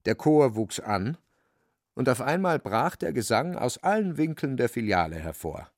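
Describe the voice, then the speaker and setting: A man's voice: medium pitch, very animated, normal speed, dry recording, studio quality. A man narrating a literary radio play from a script.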